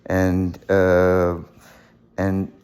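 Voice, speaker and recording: A young man telling his life story in a casual monologue, halting often with fillers and repeated words. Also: low voice, completely flat delivery, darkish tone, smooth. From United States.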